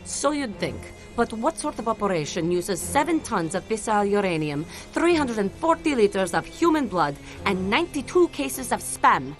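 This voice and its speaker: A composed female spy from a video game, played by an actress, with a Russian accent. She briefs like a professional and teases dryly, in a mid-pitched voice. Music plays clearly behind her. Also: big pitch swings, normal speed, few pauses, lightly acted. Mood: briskly businesslike. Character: cool, sultry female Soviet spy, wryly in control. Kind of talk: video game cutscene acting.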